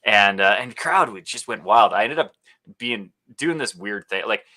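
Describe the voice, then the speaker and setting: A man's voice: on the low side, moderate pace. A man from Alberta, a podcast guest who is an actor and speaker, telling his story animatedly in a casual interview. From Alberta, Canada. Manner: animated storyteller, bursts of laughter and acted-out quotes.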